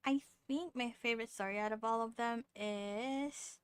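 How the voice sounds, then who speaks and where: A woman's voice: fairly high pitch, normal speed, clean tone, no echo. A woman streamer reacting casually to a video game from her room at home. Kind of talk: casual live reaction commentary. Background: game music.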